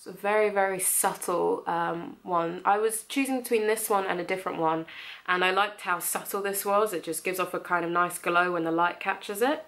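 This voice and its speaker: A woman's voice: on the low side, steady tone, normal speed, a bit bright. A young woman with a slight cold, chatting her way through a makeup tutorial with plenty of ums. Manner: chatty, friendly, lots of ums. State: has a cold, slightly nasal. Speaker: beauty vlogger.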